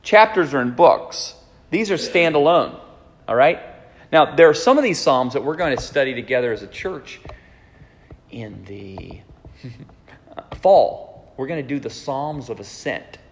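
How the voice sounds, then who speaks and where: A man's voice: mid-range voice, animated, measured pace, long reverb tail. Man in his forties, teaching sermon. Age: 40s. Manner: conversational, checks in with listeners. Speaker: pastor. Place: church sanctuary.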